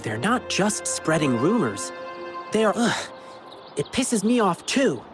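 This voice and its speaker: A man voicing an energetic, earnest young adventurer in a video game. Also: high voice, slow pace, music in the background, heavily acted. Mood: irritated.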